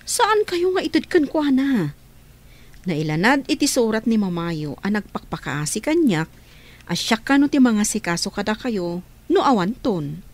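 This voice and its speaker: A protective middle-aged guardian from a radio drama. She explains patiently and reassuringly, with a very animated rise and fall in her voice. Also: medium pitch, unhurried. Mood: persuasive. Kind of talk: radio drama acting in Ilocano.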